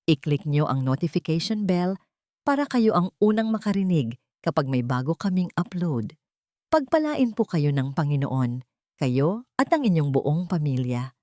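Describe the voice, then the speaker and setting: Woman, slightly low pitch, scripted story narration, earnest, dry recording. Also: big pitch swings, normal speed, mellow, no echo. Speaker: storyteller and channel host.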